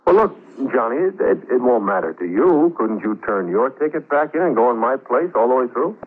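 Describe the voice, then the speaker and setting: Husky calculating husband, old radio. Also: male voice, medium pitch, very animated, brisk. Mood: smoothly persuasive. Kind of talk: old-time radio drama acting. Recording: muffled, narrow-band vintage radio recording.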